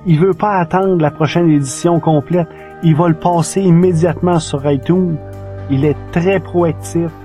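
Bright-voiced Quebec man, music behind. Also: on the high side, fairly even intonation, normal speed. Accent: Quebec French. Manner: enthusiastic. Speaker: podcast reviewer and correspondent. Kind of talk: informal spoken review from notes.

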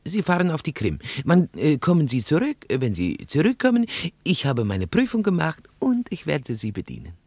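An actor playing a nervous, talkative young Russian interpreter in a radio drama, husky and emphatic, often repeating himself. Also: male voice, on the high side, wildly swooping pitch, moderate pace, few pauses, darkish tone, dry recording, full character voice. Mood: eager, officious. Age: young adult.

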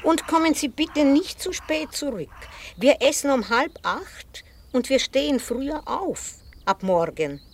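Slow, high-voiced, composed older housekeeper. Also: female voice, animated. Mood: controlled, quietly menacing. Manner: deliberate, controlled, formally polite. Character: composed, quietly menacing older housekeeper, soothing and commanding. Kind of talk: 1960s German radio play acting. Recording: hissy 1960 radio play recording.

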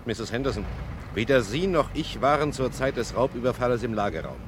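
An actor playing a stern, regretful police detective in a German crime radio play, speaking in a measured, formal way. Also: male voice, medium pitch, animated, a little hiss or hum, close to an everyday voice. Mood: formal, insistent. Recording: husky-sounding vintage radio play recording.

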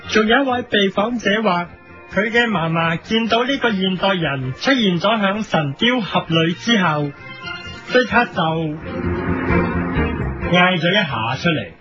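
A man's voice: sits high, lively intonation, measured pace, pushed and bright. A man with a Hong Kong Cantonese accent hosts a parody editorial, delivering satirical scripted commentary in a mock news style. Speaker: host of a parody editorial programme. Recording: lo-fi radio recording with music behind. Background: music.

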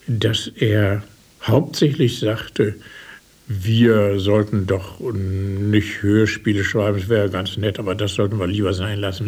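A man gives a spoken recollection as an interviewee, in halting phrases. His voice is lowish and husky, and he speaks rather slowly. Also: darkish tone. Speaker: eyewitness interviewee.

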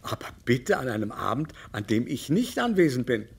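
An actor playing a haughty, capricious aristocratic baron in a radio play, speaking in a pompous, mannered, scornful way. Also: male voice, medium pitch, sing-song, moderate pace, creaky, slightly rough, heavily acted. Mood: disdainful.

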